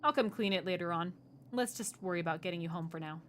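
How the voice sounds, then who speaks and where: A woman reading the lines of a polite young man who hides something sinister, in a flat, low-key way. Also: fast talker, slight background noise, natural voice. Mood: calm.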